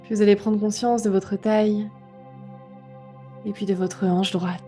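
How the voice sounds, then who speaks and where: Mellow, even-toned woman guiding meditation. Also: medium pitch, measured pace, soft background music. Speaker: yoga nidra guide. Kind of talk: guided relaxation meditation.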